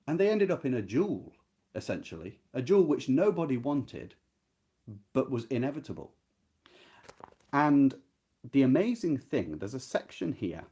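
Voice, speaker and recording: A man's voice: medium pitch, mellow, husky. Man informally talking through a book. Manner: conversational and explanatory, often addressing the listener with questions. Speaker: host of a book readalong. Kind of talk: informal literary discussion with short read-out passages.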